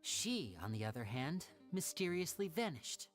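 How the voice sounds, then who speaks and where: Young male game magician, suave and confident, lowish pitch with very animated swings, faint music behind. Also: unhurried. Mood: explaining, theatrical. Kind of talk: video game dialogue acting.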